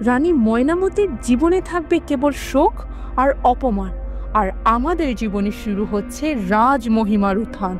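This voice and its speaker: A woman's voice: on the high side, lively intonation, moderate pace, soft background music, lightly acted. A woman voicing an ambitious, wicked queen in a fairy-tale cartoon, haughty and sly in a theatrical way. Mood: gloating, sly.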